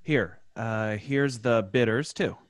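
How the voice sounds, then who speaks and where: A man's voice: mid-range voice, slow pace, dry recording, clean studio sound, close to an everyday voice. An actor playing a wry, teasing male pal in an old time radio drama. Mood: casual.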